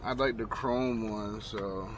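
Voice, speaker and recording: Slow-talking man muttering over loud vehicle noise. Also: on the low side, fairly even intonation. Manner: muttering, sweary, slangy. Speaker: truck driver vlogger. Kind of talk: casual hands-on install vlog, talking to self. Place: inside a truck cab. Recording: noisy truck cab, vehicle noise.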